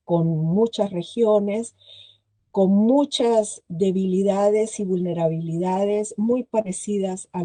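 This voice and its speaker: A woman's voice: mid-range voice, dark tone, no room sound. A middle-aged woman with a Costa Rican accent, giving a presentation to a virtual forum, hesitating often with filler sounds. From Chile. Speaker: guest speaker.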